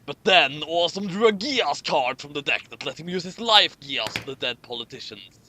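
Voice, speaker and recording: A man's voice: a little high, expressive, normal speed, pushed and bright, a little hiss or hum. A man hosting a comic reading of fan fiction, with mocking commentary and stumbles over his lines.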